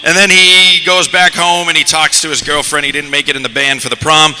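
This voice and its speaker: A man's voice: on the high side, little pitch movement, fairly quick, pushed and bright. A man moderating a live panel, announcing and explaining things in a casual way at a convention. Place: large convention panel stage. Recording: noisy live convention stage microphone.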